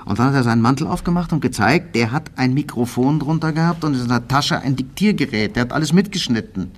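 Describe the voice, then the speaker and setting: A man's voice: mid-range voice, animated, fast, lightly acted. An actor playing an old acquaintance reminiscing in an interview, colloquial and circling back over his phrases. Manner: colloquial, repetitive storytelling. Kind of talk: conversational recollection.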